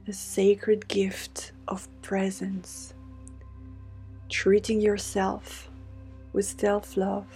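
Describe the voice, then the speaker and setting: A woman guides a meditation in a soothing, deliberate way, with breathy half-whispered phrases. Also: mid-range voice, warm tone. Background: meditation music with singing bowl tones.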